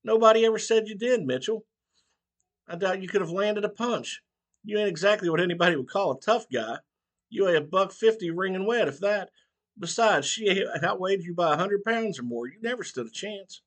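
A man's voice: mid-range voice, fairly even intonation, fairly quick, a bit bright, close to an everyday voice. A man voicing a cranky eighty-one-year-old male barber who teases bluntly in a folksy way. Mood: scolding. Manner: blunt, teasing, folksy drawl.

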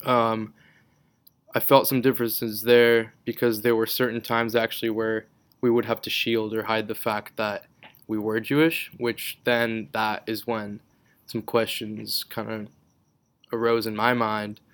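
A man's voice: fairly low pitch, level tone, unhurried, fairly bright tone, clear, resonant tone. A young man from Israel whose first language is Hebrew, a podcast guest chatting casually and rambling with lots of fillers and restarts. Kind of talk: casual interview chat.

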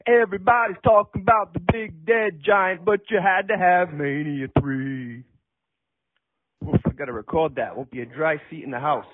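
A man's voice: high-pitched, steady tone, moderate pace. A man playing a laid-back, wisecracking singer-songwriter in a comic audio play. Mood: jaunty parody. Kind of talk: comic audio play, talk and parody singing. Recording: tinny, narrow phone-like sound.

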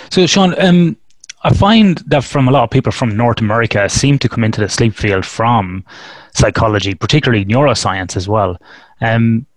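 A man's voice: medium pitch, dry recording. A man hosting a conversational podcast interview. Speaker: podcast host.

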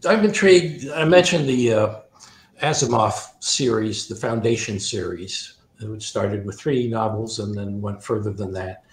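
A man's voice: lowish voice, moderate pace. A man speaking as an interview guest and author, thoughtful and discursive, often rephrasing himself as he goes. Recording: roomy, echoing sound.

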